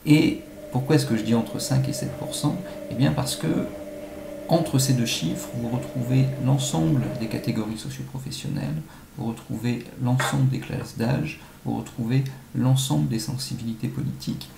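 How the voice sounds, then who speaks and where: A man giving measured, analytical answers as an academic expert being interviewed, with some halting phrasing. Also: mid-range voice. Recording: echoey room, faint-to-clear background noise.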